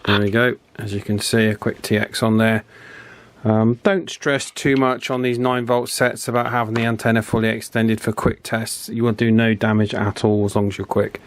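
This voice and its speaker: A man with a fairly low voice hosts a hands-on repair video. He talks casually and without a script as he works, chatting to the viewer and often tacking little tag questions onto his remarks. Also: level tone, normal speed, slight background noise. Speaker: host of an electronics repair channel. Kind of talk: casual hands-on repair commentary, talking while working.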